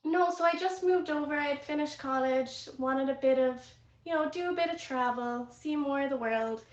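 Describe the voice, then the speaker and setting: A woman's voice: high voice, moderate pace, roomy sound, highs cut off. A young woman from Ireland with an Irish accent, chatting as a guest on a livestream in a friendly, slightly nervous way. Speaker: guest, VIP manager. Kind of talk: casual chat on a livestream.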